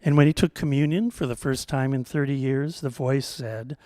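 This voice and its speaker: A man telling a scripted story as a storyteller, in a measured, gently humorous way. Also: medium pitch, dry recording, studio quality.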